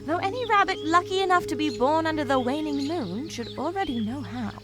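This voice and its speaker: A woman's voice: high voice, animated, fairly quick, over music. A woman voicing a prim, fussy female rabbit healer in a fantasy game, chatty and lecturing with a condescending manner. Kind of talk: visual novel voice acting.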